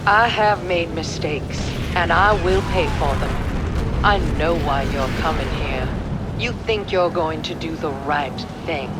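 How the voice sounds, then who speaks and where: A woman's voice: deep voice, unhurried, very noisy. A woman playing a proud, defiant older rebel general in a video game, speaking solemnly and with command. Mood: solemn, regretful. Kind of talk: dramatic video game villain acting.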